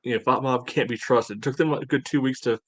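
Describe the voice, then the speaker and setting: A man co-hosting a sports podcast, talking casually in a halting, conversational way. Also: mid-range voice, fairly even intonation, no echo. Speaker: podcast co-host.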